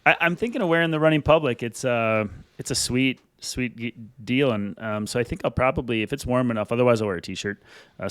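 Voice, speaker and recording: A man's voice: mid-range voice, clear, resonant tone, no room sound. A man co-hosting a podcast in casual chat, joking and rambling. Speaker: podcast co-host.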